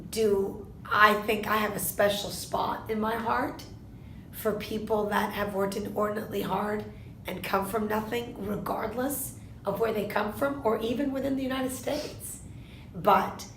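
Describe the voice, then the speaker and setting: A woman in her fifties, born in Argentina, answering interview questions in a measured way, often posing a question to herself and then answering it. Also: mid-range voice, vocal fry, a bit bright, echoey room, slight background noise, low-quality recording. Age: 50s. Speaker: interviewee, lawyer.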